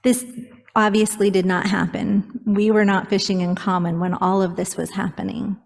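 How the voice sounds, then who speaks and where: A woman poet speaks thoughtfully on a panel, circling back with restarts and the odd wry aside. Her mid-pitched voice carries a long hall reverb behind it. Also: level tone, moderate pace, gravelly. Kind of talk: reflective panel talk.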